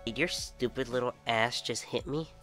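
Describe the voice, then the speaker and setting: A man playing a brash, sassy, crude-mouthed flamboyant demon in an animated comic dub. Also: medium pitch, a little slow, over music, close to an everyday voice. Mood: indignant. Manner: sassy, snappy, swearing.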